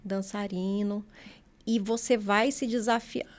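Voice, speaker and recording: A woman's voice: medium pitch, fairly even intonation, takes it slowly. A woman hosting a casual podcast interview, playful and teasing, laughing often. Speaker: podcast host.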